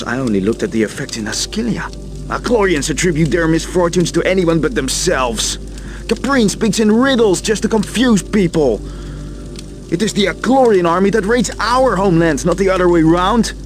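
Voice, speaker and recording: A man's voice: a little high, lively intonation, normal speed, music in the background, close to an everyday voice. A man playing an earnest, stubborn inventor in a fantasy video game, intense and self-questioning. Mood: pondering.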